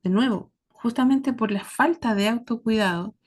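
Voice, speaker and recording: A woman with a Chilean accent giving a reflective monologue to her listeners, speaking earnestly and deliberately in short, paused phrases. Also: on the high side. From southern Chile. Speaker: host of a series about caregiving.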